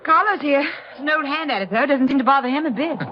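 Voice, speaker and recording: An actress playing a bright, sociable young woman photographer in an old radio drama. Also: sits high, fast talker, natural voice. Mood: light, chatty. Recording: muffled, noisy vintage radio recording.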